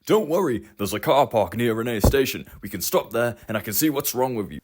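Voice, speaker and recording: A man's voice: mid-range voice, expressive, fairly quick, lightly acted. A man voicing a cheerful, carefree male driver in a storybook reading, talking in an upbeat, reassuring way.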